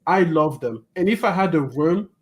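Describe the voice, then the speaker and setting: A man's voice: fairly high pitch, animated, moderate pace. A man hosting a gaming podcast, talking animatedly in casual chat, swearing freely and laughing often.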